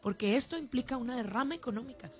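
A woman's voice: fairly high pitch, fast. A woman from Ciudad Juárez with a northern Mexican accent, a festival organizer talking animatedly in a radio interview. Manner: animated, chatty, with many restarts. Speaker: festival organizer and councilwoman, guest.

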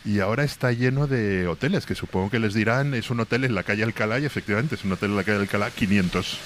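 A man talks easily in a casual conversation. He tells relaxed anecdotes and drifts along one thought to the next. Faint ambience hums behind him. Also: on the low side, quick pace, slight background noise.

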